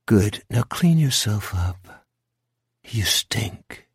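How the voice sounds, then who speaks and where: A man voicing a cold, taunting shadowy monster in a horror audiobook, speaking slowly and deliberately with menace. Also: lowish voice, expressive, vocal fry, husky, dry recording, clean studio sound, exaggerated voice. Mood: contemptuous. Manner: slow, deliberate, coldly commanding.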